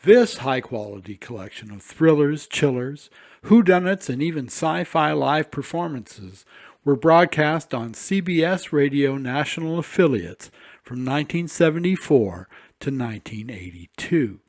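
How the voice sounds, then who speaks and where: A man's voice: on the high side, animated, measured pace, no room sound, studio quality. A man reading a scripted introduction as an announcer.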